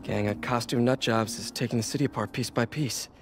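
A man's voice: medium pitch, steady tone, brisk, music in the background, lightly acted. A man voicing an earnest young American superhero who leads his team in a video game cutscene. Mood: determined. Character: young male superhero, earnest, quick-witted team leader.

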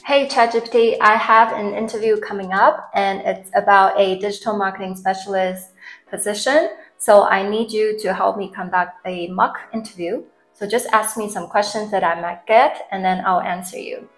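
A woman's voice: mid-range voice, fairly even intonation, normal speed, fairly bright tone, soft background music, low-quality recording. A young woman hosting an upbeat explainer video, talking to camera. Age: young adult. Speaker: tech tips video host. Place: living room, indoors.

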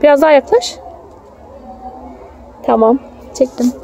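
A young mother speaks in a notably high-pitched voice. She talks casually to her small child, coaxing and affectionate. The phone recording is outdoors, with clear background noise. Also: unhurried, mellow. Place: outdoors in a theme park. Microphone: handheld phone, behind the camera. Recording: noisy outdoor phone video.

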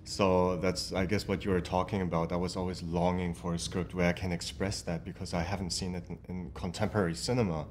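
A man's voice: sits low, a little hiss or hum. A man actor from Germany answering interview questions reflectively, with frequent ums. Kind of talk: press junket interview. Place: studio set.

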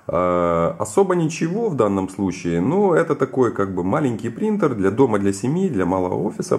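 A man's voice: fairly low pitch, darkish tone, slight background noise. A man casually giving a hands-on tutorial and telling stories, playfully repeating phrases for comic effect. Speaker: printer repair technician giving advice. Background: background music with guitar.

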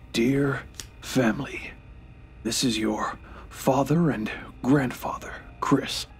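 A man's voice: medium pitch, steady tone, extremely slow, pauses often. Man playing a weary, defiant dying old man. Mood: solemn, weary. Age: 90s as played. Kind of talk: audio drama acting. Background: music.

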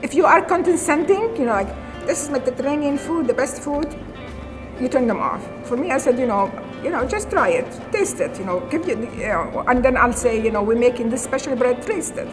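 A woman's voice: high-pitched, animated, brisk, poor audio. A middle-aged woman from Syria with an Arabic accent, a chef telling her story earnestly in a documentary interview, with many "you know"s. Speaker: chef and restaurant owner. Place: empty restaurant dining room. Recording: documentary interview with music underneath. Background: music.

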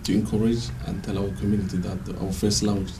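A man from Somaliland with a Somali accent, a panel guest and interpreter speaking haltingly on a studio talk show. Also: fairly low pitch, little pitch movement, distant mic, background noise. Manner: halting, repeats words while searching. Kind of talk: panel discussion. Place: TV studio, indoors. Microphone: handheld mic.

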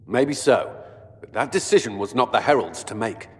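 An actor playing a disapproving, skeptical military commander in a video game. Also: male voice, medium pitch, lively intonation, moderate pace, big-room sound, lightly acted. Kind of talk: video game dramatic acting.

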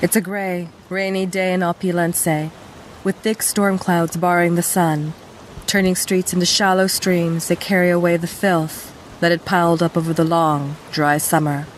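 A woman's voice: on the low side, moderate pace, slight background noise, rough recording. A woman giving a scripted story narration for an audio drama. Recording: steady rain ambience behind. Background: rain.

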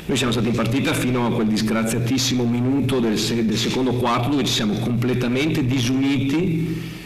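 Bearded coach in an echoey press room. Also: male voice, mid-range voice, fairly even intonation. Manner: measured, analytical, with frequent restarts. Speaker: basketball coach. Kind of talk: post-game press conference. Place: press room in front of a sponsor wall. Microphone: table mic.

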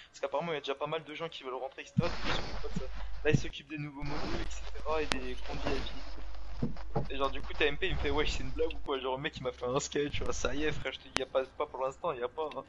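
A young man races through gaming chatter in loose slang, his voice sitting a touch high. He speaks into a headset, and keyboard clicks rattle through a noisy feed. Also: little pitch movement, muffled recording. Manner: fast, chatty, street slang. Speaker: streamer playing with teammates. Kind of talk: casual gaming chat in slang.

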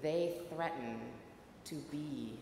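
Middle-aged woman preacher, unhurried and reflective, slightly low voice, faint hiss. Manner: slow, measured delivery with reflective pauses. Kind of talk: sermon. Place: large stone church, at a pulpit. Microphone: pulpit mic at a distance. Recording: echoey church with long reverb, faint hiss.

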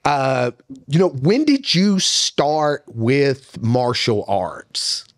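A man in his thirties co-hosting a casual podcast interview, asking rambling questions full of fillers into a studio boom mic. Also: very animated, very slow, no echo. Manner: laid-back, rambling questions with many fillers. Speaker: podcast co-host. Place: studio set with couch. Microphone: boom mic with headphones.

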